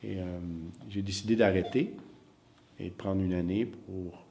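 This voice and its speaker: A middle-aged man with a very low voice. He is an acting teacher answering interview questions in a reflective way. He pauses often and repeats words as he hunts for the right phrase. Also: fairly even intonation, dark tone, a little hiss or hum. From Northern Ontario. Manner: hesitant, many fillers and repeated words.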